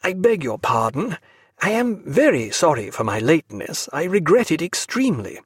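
A man's voice: a little high, very animated, normal speed, slightly rough, no echo, pitched above the performer's own voice. A man voicing a humble ship's doctor who talks in an earnest, apologetic way, in a dry recording. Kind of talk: audiobook character voice.

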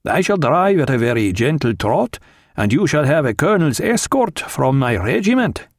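A man's voice: on the high side, big pitch swings, normal speed, dry recording, studio quality, voice pushed up. A man voicing an obliging, eager young cavalry officer with a polite, reassuring manner in an audiobook.

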